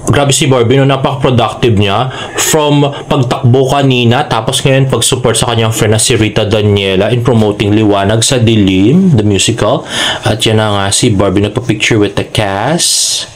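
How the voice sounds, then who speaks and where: A man's voice: medium pitch, steady tone, normal speed. A man with a Filipino accent hosting a fan vlog, chatting casually as he reads out social media posts and comments on them. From Philippines. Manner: chatty, mixes Tagalog and English. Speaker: fan vlogger and host.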